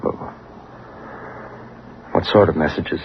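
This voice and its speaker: Romantic lead, low-pitched, plain-spoken, understated, old radio play, phone-like faintly noisy audio. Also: male voice, steady tone, slow. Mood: guarded, curious. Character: earnest male romantic lead who narrates his own story. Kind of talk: old-time radio drama acting and narration. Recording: tinny, noisy vintage radio recording.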